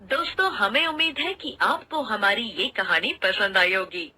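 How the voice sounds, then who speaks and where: A woman's voice: medium pitch, lively intonation, normal speed, bright, forceful tone, a little hiss or hum. A woman reading a scripted channel outro as a presenter.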